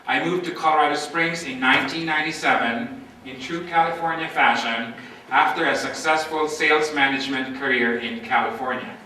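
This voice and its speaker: A man's voice: a little high, brisk, sharp tone, a little hiss or hum. A man from the Philippines giving a formal, emphatic campaign speech as a candidate for office. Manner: formal, emphatic oratory with lists. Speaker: political candidate. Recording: echoey amateur recording from far off.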